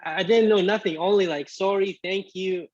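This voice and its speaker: A man in his twenties, born in Iraq with Arabic as his first language, telling his story as a guest in a casual interview, halting with many restarts. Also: high-pitched, steady tone, dull audio, crowd noise. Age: 20s. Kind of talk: casual video-call interview.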